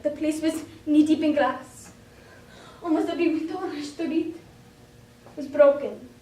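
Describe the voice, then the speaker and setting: A woman performing a dramatic monologue as a frightened, anguished young wartime girl, emotive and deliberate in delivery. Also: sits high. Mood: bleak, despairing. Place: indoor room, recorded from a distance. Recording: roomy echo, distant microphone.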